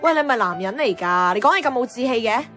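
A woman plays an exasperated young career woman in a radio drama, in Hong Kong Cantonese. Her voice sits a little high and moves briskly, blunt and scolding, over faint music. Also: animated, close to an everyday voice. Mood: chiding. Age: young adult. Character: exasperated, outspoken young career woman looking after friend.

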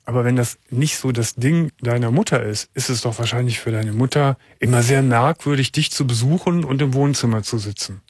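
A man hosts a late-night radio call-in show and asks calm, probing questions. His voice sits in a middle register with a slightly husky grain. The studio sound is close and dry. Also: mid-range voice, fairly quick, hardly any pauses, no echo. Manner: calm, probing questions with frequent soft "mhm"s. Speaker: radio talk show host.

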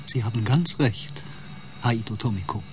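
An actor playing a cold, contemptuous empath on a spaceship crew in a radio drama, speaking with haughty disdain. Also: male voice, mid-range voice, moderate pace, background noise, natural voice.

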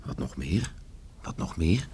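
Deep-voiced, stubborn farmer father. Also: very animated, mellow. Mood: defensive, remorseful. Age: middle-aged. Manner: brooding, halting, muttering to himself. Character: stern, stubborn farmer father, troubled by remorse. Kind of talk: Dutch radio play acting. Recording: faint background noise on radio recording.

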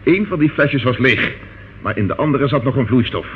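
An actor playing a methodical London police detective in an old radio play, measured, matter-of-fact and firm. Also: male voice, mid-range voice, lightly acted. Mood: firm, pressing. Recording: muffled old radio recording, faint noise.

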